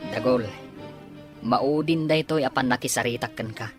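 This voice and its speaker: A woman playing a cautious, aging henchman in an Ilocano Filipino radio drama, with a husky voice. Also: rumbling low, normal speed. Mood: warning, insistent. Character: cautious, aging henchman urging a change of ways. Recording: close, dry, with music underneath.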